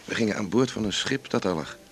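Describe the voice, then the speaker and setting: An actor playing a teasing, evasive romantic who muses wistfully, in a naturalistic radio drama. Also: male voice, fairly low pitch, expressive, fast talker, husky.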